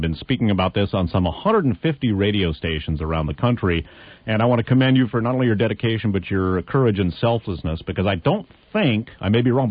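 Hoarse man hosting a radio interview. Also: on the low side, no echo. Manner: earnest, halting with many fillers. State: hoarse from laryngitis. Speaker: radio host. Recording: muffled lo-fi radio broadcast.

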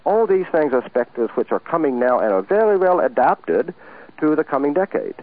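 A man guest in a political radio interview, speaking earnestly and deliberately in English, which is not his first language. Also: fairly high pitch, big pitch swings. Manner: earnest, deliberate, translating quotes aloud. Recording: tinny lo-fi radio broadcast.

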